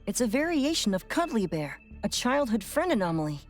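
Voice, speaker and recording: A woman's voice: fairly high pitch, big pitch swings, fairly quick, faint music behind. A woman playing a thoughtful, knowledgeable lore expert in a video game, precise and explanatory. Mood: informative.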